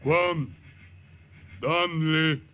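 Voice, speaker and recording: An actor playing a stern jungle chief who speaks halting, broken English in a 1950s radio drama. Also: male voice, on the high side, fairly even intonation, sharp tone, smooth, exaggerated voice. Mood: hostile, commanding. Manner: halting, emphatic, clipped broken phrases. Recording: vintage radio drama recording.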